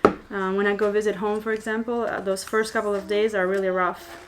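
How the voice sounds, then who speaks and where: A woman's voice: mid-range voice, little pitch movement, fairly quick, very noisy. A woman whose first language is Albanian, chatting as she teaches paper flower making on a live stream, with many fillers and restarts. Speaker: paper flower crafter and tutor. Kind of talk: live-stream craft tutorial, reading comments.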